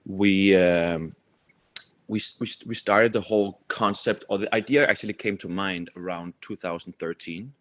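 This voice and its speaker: A man talks as an interview guest. His voice is low, and he explains things informally with many small asides. The recording is very dry. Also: moderate pace, no echo. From Copenhagen, Denmark. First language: Danish. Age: 30s. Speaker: company executive, podcast guest. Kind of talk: conversational interview answers.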